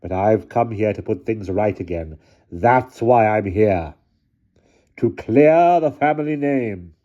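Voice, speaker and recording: A man's voice: lowish voice, expressive, takes it slowly, muffled recording, voice pushed up. A man voicing a bold, stern aunt in a storybook, speaking firmly and declaiming as if to a mob. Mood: stern, pleading. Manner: firm, declamatory.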